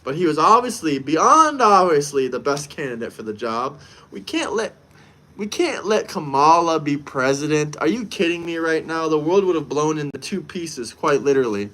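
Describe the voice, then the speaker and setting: Brash, high-voiced American man streaming. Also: very animated, moderate pace, pushed and bright. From United States. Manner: brash, repetitive, emphatic. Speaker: live streamer. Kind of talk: casual live-stream chat.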